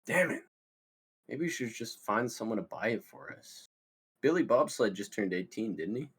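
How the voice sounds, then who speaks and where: A man's voice: on the low side, big pitch swings, normal speed, fairly bright tone, slightly rough, full character voice. A man playing an eager, scheming young stoner slacker in a comedy table read, with casual, slangy delivery. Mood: tense.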